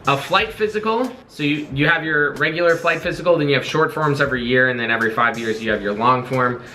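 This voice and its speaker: A man's voice: mid-range voice, fast talker, sharp tone. A young American man presenting an informational vlog casually, switching between reading aloud and informal, jokey asides. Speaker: video creator, Navy corpsman.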